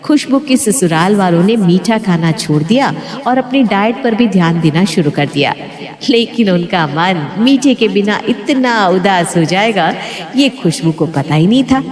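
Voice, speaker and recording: A woman's voice: mid-range voice, lively intonation, measured pace, crowd noise. A woman reading scripted narration for a moral family story. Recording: long hall-like reverb.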